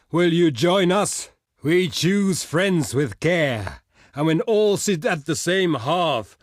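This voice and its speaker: A man's voice: mid-range voice, dry recording, clean studio sound, lightly acted. Man playing a proud, solemn barbarian envoy. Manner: formal, solemn, declamatory. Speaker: diplomatic messenger. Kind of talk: theatrical video game voice lines.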